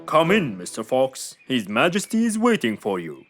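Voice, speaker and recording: A woman's voice: extremely low pitch, wildly swooping pitch, moderate pace, exaggerated voice. A woman voicing a formal, deferential palace attendant who announces visitors in a children's cartoon. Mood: formal, welcoming. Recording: music behind the voice.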